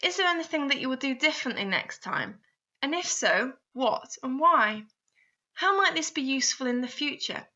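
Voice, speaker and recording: A woman with a fairly high, very bright voice narrates a step-by-step guide. She addresses the listener directly as an instructor would, often with guiding questions. The recording is a little dull and lo-fi. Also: very animated, moderate pace. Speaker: instructor narrating a guidance video. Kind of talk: scripted instructional voice-over. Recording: slightly dull, lo-fi voice-over.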